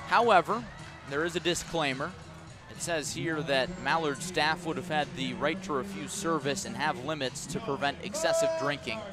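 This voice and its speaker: A man's voice: on the high side, normal speed, loud music behind the voice. A man doing live radio play-by-play of a baseball game, moving between clipped calls and conversational asides. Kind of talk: live radio sports commentary. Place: outdoor ballpark broadcast.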